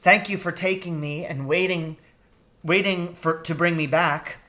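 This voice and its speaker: A man acts out a motherly storybook mouse in a raised, soft voice. She sounds gentle and polite, with a worried, hesitant way of speaking. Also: on the high side, fairly even intonation, measured pace, voice pushed up. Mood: polite, resolved. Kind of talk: children's storybook read aloud.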